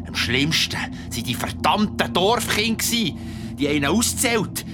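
A man with a Swiss German accent playing a father who tells his child a creepy bedtime story, theatrical and suspenseful. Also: a little high. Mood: grim. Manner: theatrical, suspenseful, sometimes whispering. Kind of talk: audio drama storytelling. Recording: music behind the voice. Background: music.

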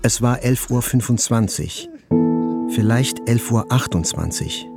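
An actor playing a journalist who relives a fateful morning, narrating a literary audio drama plainly and with restraint. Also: male voice, medium pitch, animated, unhurried, vocal fry, loud music behind the voice. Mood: restrained.

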